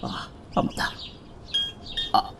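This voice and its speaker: A man's voice: drawn-out words, fairly bright tone, a little hiss or hum. A man playing a hesitant old uncle. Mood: worried.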